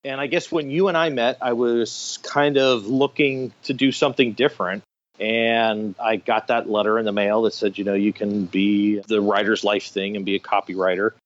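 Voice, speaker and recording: A man's voice: lowish voice, fairly even intonation, moderate pace. A man in his fifties with an American accent, telling stories casually and with self-deprecating humor as a podcast guest. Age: 50s. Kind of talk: casual interview storytelling. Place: remote online call. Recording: dull lo-fi internet call.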